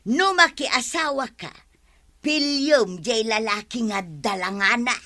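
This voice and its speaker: A woman's voice: fairly high pitch, animated, slow, bright, forceful tone, exaggerated voice. A woman with an Ilocano accent playing a nagging, complaining wife in a radio comedy drama.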